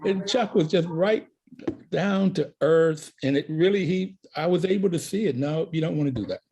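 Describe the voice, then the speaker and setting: A man's voice: fairly high pitch, moderate pace, gravelly, warm tone, slight background noise. A man hosting a talk show, telling anecdotes in a casual way with fillers. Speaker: radio show host.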